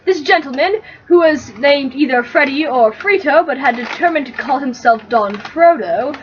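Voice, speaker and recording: A woman narrates a comic tale aloud. Her voice is high and highly animated in pitch. The recording is a little dull. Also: normal speed, slight background noise. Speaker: reader of comic fan fiction. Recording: slightly dull, lo-fi.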